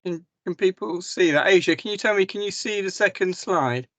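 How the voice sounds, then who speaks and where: Hoarse man on a video call. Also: high-pitched, normal speed, a bit bright, no room sound. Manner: hesitant, many ums and restarts. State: hoarse from a cough. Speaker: mental health service manager. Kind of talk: presenting slides in a webinar.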